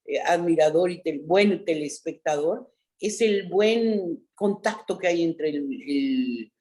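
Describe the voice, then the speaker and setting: A middle-aged woman with a Mexican accent, an actress chatting as an interview guest, telling stories in an animated way and often adding a questioning "¿no?". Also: lowish voice, slow pace. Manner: animated storyteller, frequent "¿no?" tags. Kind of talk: casual interview chat.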